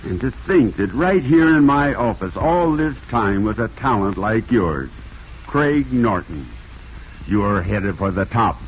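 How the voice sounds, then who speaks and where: An actor playing a brisk, avuncular publishing boss in 1940s radio style, emphatic and excitable in manner. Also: male voice, lowish voice. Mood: astonished. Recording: muffled, noisy vintage radio recording.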